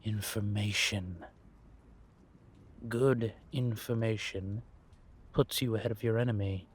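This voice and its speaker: A man playing a centuries-old vampire spymaster in a roleplay audio drama, patient and wry, speaking in measured phrases with deliberate pauses. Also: on the low side, steady tone, husky. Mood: reflective, instructive.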